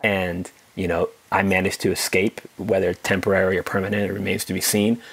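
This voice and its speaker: Deep-voiced candid male podcast host. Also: fairly quick, slightly rough, poor audio. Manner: candid and animated, many fillers like "you know" and "like". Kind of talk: conversational podcast interview.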